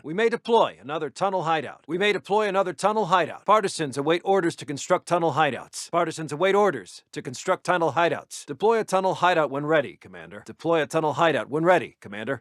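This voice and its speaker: A man playing a military intelligence officer in a video game, briefing his commander in a crisp, formal, scripted delivery. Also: a little high, fairly bright tone, no echo, clean studio sound.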